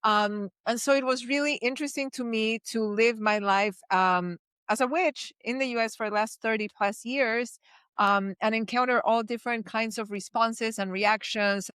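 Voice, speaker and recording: Woman from Chile, fifties, podcast guest, blunt and animated, smooth clear voice. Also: fairly high pitch, moderate pace, dry recording, clean studio sound. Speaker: podcast guest, magic teacher. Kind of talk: casual interview.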